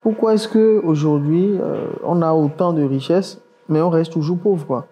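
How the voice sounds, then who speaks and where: Male podcast host, curious and questioning, slightly higher mellow voice. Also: measured pace, low-quality recording. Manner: curious, questioning, thinks aloud with fillers. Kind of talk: casual podcast conversation.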